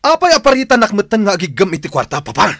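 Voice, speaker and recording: An actor with a Filipino accent playing a battered, resentful son, agitated and husky, in a radio drama. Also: male voice, high-pitched, very animated, fast, fairly bright tone, no echo, studio quality, heavily acted. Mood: agitated, defiant. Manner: pleading, agitated, emotional. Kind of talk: Philippine radio drama acting.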